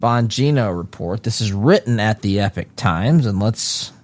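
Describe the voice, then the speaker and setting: A lower-pitched man talking through a news article in a casual solo commentary. He reads a passage, then drifts into conversational asides, restarting phrases as he goes. The sound is close and dry with no room around it. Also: animated, unhurried, darkish tone, no room sound. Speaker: political commentary host.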